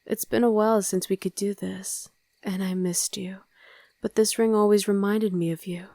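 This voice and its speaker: A woman playing a tender, doting, teasing vampire wife in an intimate ASMR roleplay, speaking softly and breathily, often in a whisper. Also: medium pitch, steady tone, normal speed, no echo, clean studio sound, natural voice. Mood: tender, affectionate.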